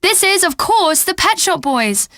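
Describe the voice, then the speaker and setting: A woman's voice: extremely high pitch, big pitch swings, a little slow, sharp tone, no room sound, clean studio sound, heavily acted. A woman playing a flirty, upbeat pop radio DJ in a video game, with slick, playful, teasing song introductions.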